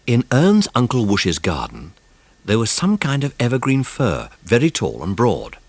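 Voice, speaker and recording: A male narrator reads a scripted children's story. His voice sits at a medium pitch and is recorded very dry and close. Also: wildly swooping pitch, measured pace, dry recording.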